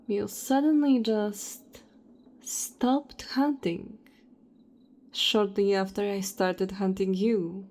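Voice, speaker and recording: A woman's voice: mid-range voice, fairly even intonation, extremely slow, darkish tone. A woman playing a cold, self-righteous vampire hunter in an audio roleplay, taunting and contemptuous. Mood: puzzled, mocking.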